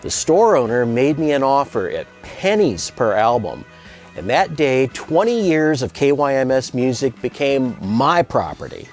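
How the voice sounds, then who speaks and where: A man's voice: mid-range voice, very animated, unhurried, a little mellow. A man presenting a scripted promotional narration as the person behind a music archive project. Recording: music bed under voice.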